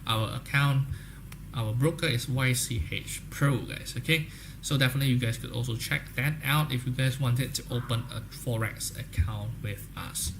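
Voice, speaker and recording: A young man with an Indonesian accent talks to viewers in a loose, promotional way, mid-pitched voice. He keeps looping back to "guys, okay?" as he goes. A faint hiss sits under the voice. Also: moderate pace, vocal fry. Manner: repeats "guys, okay?" often, upbeat salesy talk. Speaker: trading livestream host. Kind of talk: casual livestream chat with viewers.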